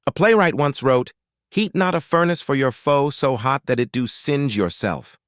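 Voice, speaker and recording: A British man voicing a reclusive, gentle baron who speaks in a quiet, measured, wry and resigned way. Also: medium pitch, expressive, no echo, clean studio sound, pitched above the performer's own voice, close to an everyday voice. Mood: quiet, forgiving.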